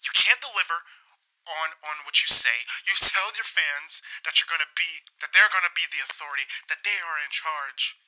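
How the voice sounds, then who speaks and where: Guy doing a cocky younger wrestling boss, really piercing bright voice, defiant parody phone argument. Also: on the high side, rough recording. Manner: smug, deliberate, challenging. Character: smug, defiant younger male rival wrestling company boss.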